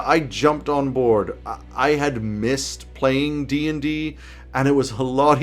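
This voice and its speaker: A man hosts a roleplaying video from a small home office. His mid-pitched delivery is emphatic and enthusiastic. Music plays behind his scripted commentary. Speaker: tabletop roleplaying video host. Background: background music.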